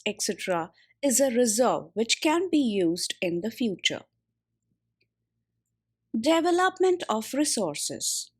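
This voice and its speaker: Female reader for school textbook audio, mid-pitched, measured instructional delivery, recorded dry. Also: no echo. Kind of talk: read-aloud of a school geography textbook.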